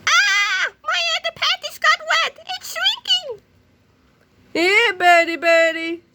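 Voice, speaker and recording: A woman's voice: extremely high pitch, expressive, takes it slowly, background noise, pitched above the performer's own voice, exaggerated voice. A woman voicing a whiny, babyish, boastful giant nerd boy in a comic storybook. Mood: wailing. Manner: whining, pleading, babyish.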